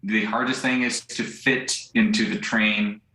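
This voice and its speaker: A young man from central Ukraine with a Ukrainian accent, answering a live news interview in English in a halting way, searching for words. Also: fairly low pitch, level tone, pushed and bright. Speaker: volunteer driver interviewed live. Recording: echoey remote link.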